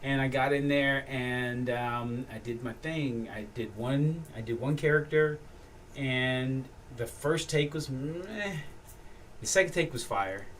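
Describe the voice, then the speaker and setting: Male actor guest, animated storyteller, sits a little back from the mic with faint room noise. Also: mid-range voice, slow, recorded from a distance. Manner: animated storyteller, laughs often. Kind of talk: casual chat.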